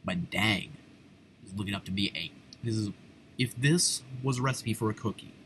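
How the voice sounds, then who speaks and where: Excitable low-voiced American man reacting. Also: normal speed, background noise. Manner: excitable, exclamatory, casual slang. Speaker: reaction video host. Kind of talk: live unscripted reaction commentary.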